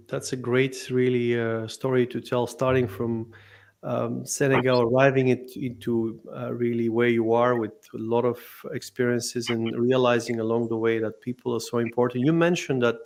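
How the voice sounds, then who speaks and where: A man's voice: mid-range voice, flat delivery, normal speed, gravelly, a little mellow. A man hosting an interview podcast, speaking with many fillers and restarts as he welcomes and questions his guest. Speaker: podcast host.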